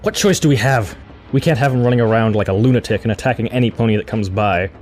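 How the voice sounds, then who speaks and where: A man's voice: fairly low pitch, quick pace, a little mellow, soft background music, natural voice. A man playing a dutiful, pragmatic pony royal guard in a cartoon fan drama. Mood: grave, resigned. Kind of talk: fan audio drama acting.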